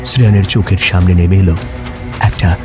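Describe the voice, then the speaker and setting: A man narrating a scripted story dramatically, with emotive phrasing and pauses. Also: deep voice, fairly even intonation, music in the background.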